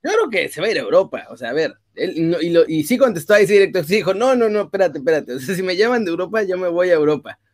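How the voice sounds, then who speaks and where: Easygoing Mexican man, slightly high voice. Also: animated, fast talker, hardly any pauses. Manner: easygoing, joking, uses slang. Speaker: co-host of a live sports stream. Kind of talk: casual live-stream chat.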